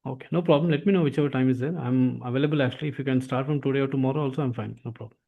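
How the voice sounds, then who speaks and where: A man's voice: medium pitch, racing, vocal fry, phone-quality sound. A man with an Indian accent, a tutor chatting casually and persuasively with a prospective student, often repeating himself. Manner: repetitive, often tags sentences with "actually". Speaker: SAP course tutor.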